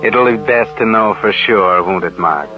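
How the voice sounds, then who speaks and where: A man's voice: lowish voice, a little slow, close to an everyday voice. An actor playing a sinister suspense narrator on 1940s radio, needling and insinuating like a man's guilty conscience. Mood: insinuating, taunting. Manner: insinuating, taunting second-person address. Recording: dull 1940s radio, loud music behind.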